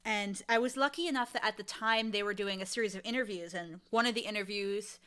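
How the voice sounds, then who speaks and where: Woman, twenties, bright forward voice at medium pitch, candid and animated interview guest. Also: a little fast, smooth. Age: 20s. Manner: animated, candid, self-deprecating storytelling. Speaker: audio drama showrunner, interview guest. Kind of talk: casual interview chat.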